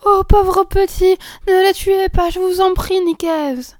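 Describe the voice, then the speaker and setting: A woman's voice: high-pitched, steady tone, moderate pace, a little mellow, dry recording, clean studio sound, close to an everyday voice. A woman voicing a tender-hearted little girl in a storybook, indignant and pleading.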